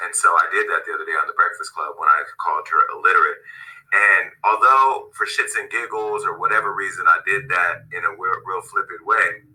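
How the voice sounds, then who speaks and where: A man giving a direct-to-camera statement from an office, speaking in a deliberate, measured way and repeating phrases for emphasis. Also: sits low, steady tone, sharp tone, rough recording. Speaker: media founder giving a video statement.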